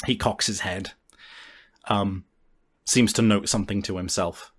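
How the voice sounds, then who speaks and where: A man improvising tabletop game narration as game master, descriptive and animated, often halting to find his wording. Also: lowish voice, breathy edge.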